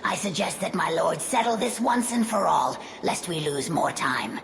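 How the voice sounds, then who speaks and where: Actress as practical undead lieutenant, creaky, formal, cool, game cutscene, faint noise. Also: female voice, fairly low pitch, expressive, moderate pace. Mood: cool insistence. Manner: formal, firm, diplomatic. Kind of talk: fantasy game cutscene acting.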